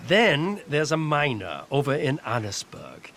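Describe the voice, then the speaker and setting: A man acting an awkward, apologetic moneylender in a game scene, speaking formally and haltingly. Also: fairly high pitch, wildly swooping pitch, fairly bright tone, slight background noise, exaggerated voice. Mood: hesitant, businesslike. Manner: halting, formal, mild-spoken. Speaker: game character actor. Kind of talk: dramatic game cutscene dialogue.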